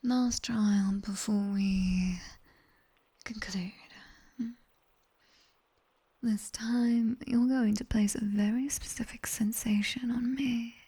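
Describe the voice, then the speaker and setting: Woman as a slow, whispering British demoness tutor. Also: mid-range voice. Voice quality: breathy, hushed whisper. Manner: slow, clipped instructions with dry, teasing praise. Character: sharp-tongued female nightmare demoness tutor, teasing, quietly commanding. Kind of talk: ASMR roleplay, guided sleep session. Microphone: close binaural microphone.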